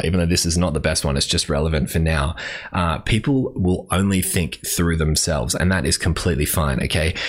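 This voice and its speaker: An Australian man hosting a podcast, talking casually and rambling, often correcting himself with lots of fillers. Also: deep voice. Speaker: podcast host. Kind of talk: casual solo advice talk. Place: room with a bookshelf.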